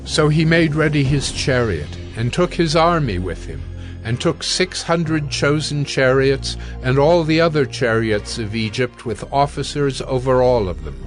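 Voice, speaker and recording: A man serves as narrator of a dramatized scripture reading. His mid-pitched voice is solemn and measured. Quiet music plays beneath. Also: lively intonation.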